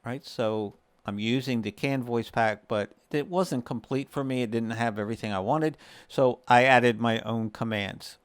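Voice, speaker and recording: A man's voice: mid-range voice, normal speed, hardly any pauses, clear, resonant tone, dry recording. A man hosting a gaming tutorial, explaining things step by step in a casual, conversational way. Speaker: gaming video host. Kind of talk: casual screen-share tutorial.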